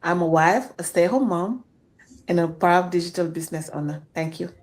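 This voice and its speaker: A woman from Senegal, a panelist, speaking carefully and in a measured way. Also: lowish voice, darkish tone.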